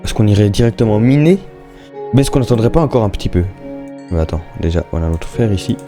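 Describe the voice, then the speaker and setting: Fast-talking young man, casual gamer commentary. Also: fairly low pitch, expressive, music in the background. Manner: informal, slangy, chatty, thinking aloud. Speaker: gaming YouTuber. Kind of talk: casual Minecraft let's-play commentary.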